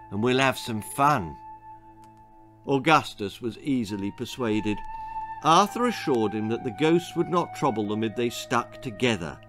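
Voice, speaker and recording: A man in his sixties reading a children's story aloud in an expressive, theatrical way. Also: medium pitch. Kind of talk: dramatic children's story narration. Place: small book-lined room indoors.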